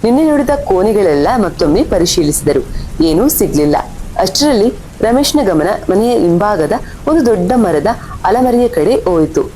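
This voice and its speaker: A woman's voice: sing-song, moderate pace, mellow, poor audio. A woman reading scripted horror story narration as the storyteller. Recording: faint rumble and rain behind voice.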